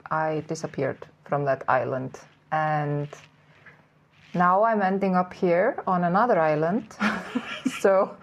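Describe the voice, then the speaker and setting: A woman from Iceland with an Icelandic accent, a podcast guest speaking English in a casual interview, hesitant and pausing often. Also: fairly low pitch, highs cut off. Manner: hesitant, with pauses and fillers.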